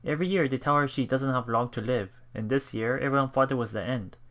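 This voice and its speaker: A man hosting a let's play, reading visual novel text aloud and commenting in a halting, thinking-aloud manner. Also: medium pitch, fairly bright tone. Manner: halting, thinking aloud between read passages.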